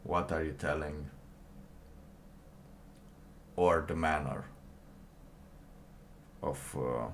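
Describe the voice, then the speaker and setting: A man giving casual livestream commentary and reading documents aloud, halting and rambling, often pausing mid-sentence. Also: extremely low pitch, a little hiss or hum. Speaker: livestream host.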